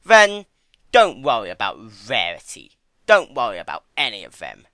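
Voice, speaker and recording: A man's voice: medium pitch, huge pitch swings, moderate pace, bright, forceful tone, no room sound, studio quality. A man voicing a grand, theatrical male chaos spirit, menacing and possessive, with emphatic delivery in a dramatic story reading. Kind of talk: dramatic fan-fiction reading.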